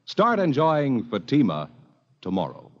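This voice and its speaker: A man's voice: mid-range voice, wildly swooping pitch, unhurried, loud music behind the voice. An American man reading an old radio commercial with an emphatic, polished sales delivery. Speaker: commercial announcer. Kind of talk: 1950s radio advertising pitch. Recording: muffled old radio recording.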